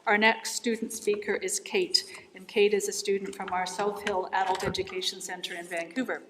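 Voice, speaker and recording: A woman's voice: medium pitch, flat delivery, normal speed, noisy background. Woman emcee, far off in an echoey hall. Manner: warm and appreciative. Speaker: event organizer introducing speakers. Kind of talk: emcee introductions.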